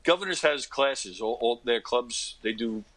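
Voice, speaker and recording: An American man in his fifties, an interview guest, talking casually in a rambling, self-deprecating way and often clearing his throat. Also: medium pitch, expressive, measured pace, fairly bright tone. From Long Island, New York, United States. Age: 50s. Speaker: interview guest, actor and comedy performer. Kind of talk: casual conversational storytelling.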